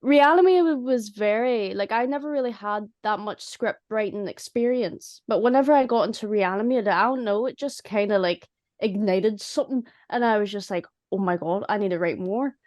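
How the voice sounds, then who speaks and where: A woman chatting casually and humorously about making audio dramas, in a chatty, animated way. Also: medium pitch, moderate pace, no echo, clean studio sound. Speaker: audio drama writer and voice actor. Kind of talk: casual chat.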